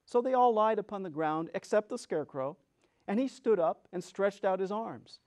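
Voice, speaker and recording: A man narrating a children's story as a dramatic reading, theatrical and expressive. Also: sits high, moderate pace. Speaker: storyteller, reader.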